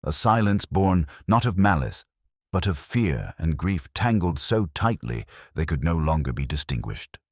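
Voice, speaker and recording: An older police detective played by a man narrates his notebook in a very low, deep voice. His delivery is solemn and measured, with a widely moving intonation. It is brooding, literary mystery-drama storytelling, recorded close and dry. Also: very animated, dry recording, exaggerated voice. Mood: brooding, reflective. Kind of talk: scripted first-person mystery narration.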